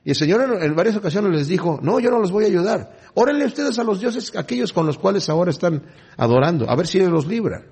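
A man's voice: high-pitched, lively intonation, fast, creaky, a little mellow, breathy edge. A man preaching a Bible lesson who reads scripture aloud and then explains it in conversational asides. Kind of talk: Bible teaching sermon.

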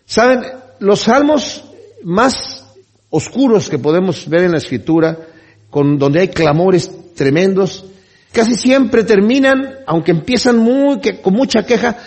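Male pastor, expository sermon, husky tone, somewhat high pitch. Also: a little mellow. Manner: expository Bible teaching, asks the listeners questions.

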